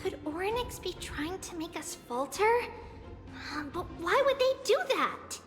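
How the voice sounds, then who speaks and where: An extremely high, slow-spoken little girl, cute and earnest with flashes of excitement. This is anime-style game dialogue, set in long reverb with loud music behind. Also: heavily acted. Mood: pensive, uneasy. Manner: cute childish delivery, speaks of themselves by name.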